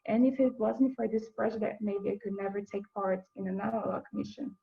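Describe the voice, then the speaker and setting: A young woman from Brazil, a university student giving an earnest, halting webinar presentation with frequent restarts. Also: mid-range voice, steady tone, vocal fry, lo-fi sound, background noise. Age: young adult.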